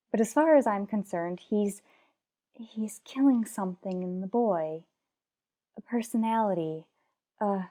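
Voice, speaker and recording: Actress playing an anguished wife and mother, mellow voice. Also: medium pitch, close to an everyday voice. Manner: earnest, measured, dramatic pauses. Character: anguished, unhappily married mother in a soap opera. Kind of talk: radio soap opera acting.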